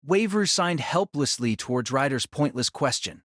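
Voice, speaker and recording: A man's voice: medium pitch, lively intonation, moderate pace, fairly bright tone, dry recording, studio quality. A man reading dramatic scripted story narration for an audio drama.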